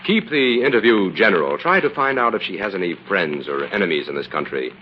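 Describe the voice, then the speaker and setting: Low voiced commanding old radio sleuth, big swings in pitch, muffled and noisy. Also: male voice, big pitch swings, brisk. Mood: businesslike. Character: confident, shrewd male investigator, brisk and commanding. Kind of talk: old-time radio drama acting.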